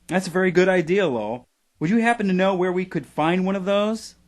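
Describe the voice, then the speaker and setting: An actor playing a pushy, sardonic boss of a struggling internet radio company in an audio drama. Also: male voice, high-pitched, brisk, close to an everyday voice. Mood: businesslike.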